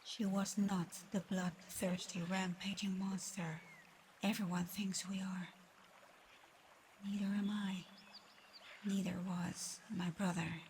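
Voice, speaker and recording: A woman playing a weary, proud but gentle female dragon in a soft, breathy, measured ASMR roleplay. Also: medium pitch, a little hiss or hum, close to an everyday voice. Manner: soft, breathy, half-whispered, measured delivery. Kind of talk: ASMR roleplay, scripted one-sided dialogue.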